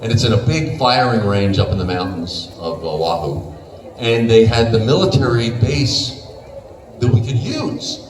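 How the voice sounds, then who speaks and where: Animated man telling stories on a panel. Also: mid-range voice, unhurried. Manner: animated storytelling with laughs and acted-out quotes. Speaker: convention panel guest, voice actor. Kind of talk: casual panel conversation. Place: convention panel room. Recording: roomy hall audio from a distance with noise.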